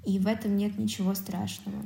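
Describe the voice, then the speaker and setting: A woman co-hosting a podcast, giving measured, articulate reflections on a film in a roomy recording. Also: medium pitch, level tone, gravelly, very noisy. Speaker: podcast host. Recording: roomy, echoing sound.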